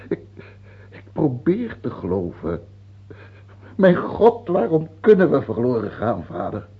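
A man's voice: medium pitch, wildly swooping pitch, a little slow, slightly rough, exaggerated voice. An actor in a radio play playing a dying old king, theatrical, imperious and afraid, swinging from pleading to commanding. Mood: fearful pleading. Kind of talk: Dutch radio play acting. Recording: tinny, band-limited old radio recording, faint hiss.

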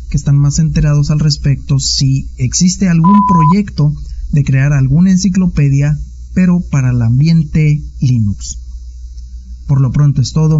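A man's voice: a little high, mellow. A man with a Mexican accent leaving a polite, formal voice message, with some fillers. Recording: dull lo-fi recording.

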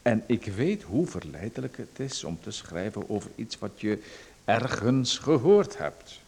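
A creepy, patronizing official. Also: male voice, fairly low pitch, sing-song, unhurried, creaky, a little mellow, breathy edge, full character voice. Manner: condescending, coaxing then threatening. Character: menacing male official, smooth and patronizing. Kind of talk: radio drama acting.

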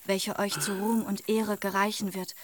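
A woman's voice: mid-range voice, level tone, moderate pace, natural voice. An actress playing a young woman posing as a noble princess in a radio drama, formal, florid and imploring. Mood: imploring, lofty.

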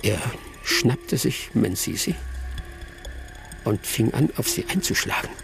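A village storyteller in a radio play, his voice creaky and swinging widely as he spins old tales. He is vivid and dramatic, with music playing behind him. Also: mid-range voice.

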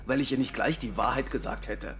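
A man's voice: mid-range voice, fairly quick, background noise, close to an everyday voice. Man playing a stammering, flustered young guy. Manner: nervous, stammering. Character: flustered young German, defensive.

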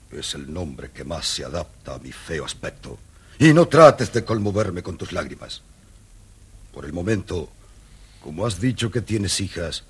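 An actor playing a monstrous, lonely beast who rules a castle, speaking slowly and gravely in an old children's audio story. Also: male voice, full character voice. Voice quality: very deep and husky. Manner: slow, grave, commanding delivery.

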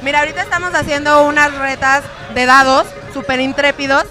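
A woman with a high voice joins as a guest, joking and mocking herself as she explains the party games. She is recorded outdoors at a pool party, with loud music and a crowd behind her. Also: little pitch movement, a little fast. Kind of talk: casual chat.

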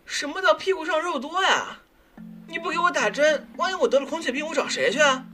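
A man's voice: extremely high pitch, animated, a little fast, bright, forceful tone, faint music behind, heavily acted. A man playing a whiny, fussy, indignant patient in an audio drama, protesting petulantly. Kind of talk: Chinese audio drama acting.

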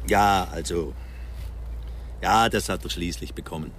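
A man's voice: sits low, unhurried, background noise. An actor playing an older man in a radio drama who is curt, unwelcoming and reproachful. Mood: brusque, reproachful.

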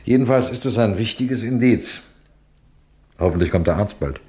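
Ein Schauspieler spielt in einem Krimihörspiel einen scharfsinnigen, befehlsgewohnten Kriminalrat, der bedächtig fragt und anordnet. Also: male voice, fairly low pitch, animated, warm tone, close to an everyday voice. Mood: stern, explaining. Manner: commanding, measured, questioning. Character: authoritative, shrewd police detective superintendent, first-person narrator. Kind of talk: German radio crime play acting.

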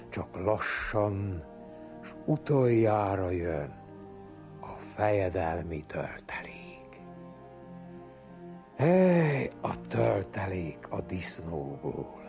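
A man reciting a poem theatrically, savoring the words with exclamations, dramatic swells and hushes. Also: sits low. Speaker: poetry reciter. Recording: dull lo-fi audio with music. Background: music.

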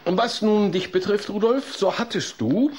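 A man's voice: high-pitched, a little slow, slight background noise. A man playing an earnest, fussy psychologist who lectures and coaxes patiently in a German children's audio drama. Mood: earnest lecturing. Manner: didactic, expressive, coaxing.

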